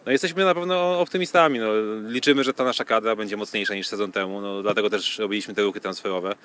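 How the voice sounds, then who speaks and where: A man in his thirties giving measured, hesitant answers in a sports interview, speaking into a handheld microphone on a pitch. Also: a bit bright. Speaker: football club official being interviewed. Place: outdoors on a football training pitch. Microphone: handheld reporter microphone. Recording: outdoor field noise.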